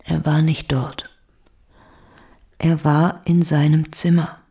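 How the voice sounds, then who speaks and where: An actress playing a subdued, evasive mother under police questioning, quiet, halting and terse, in a naturalistic radio drama. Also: low-pitched, little pitch movement. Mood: stubborn denial. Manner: quiet, halting, terse, often breathy near-whisper.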